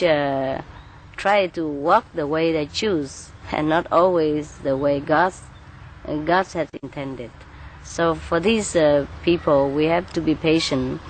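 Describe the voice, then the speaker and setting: A woman from Vietnam, answering interview questions as a spiritual teacher in an earnest, unhurried way, with soft laughs and filler pauses. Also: low-pitched, a little hiss or hum.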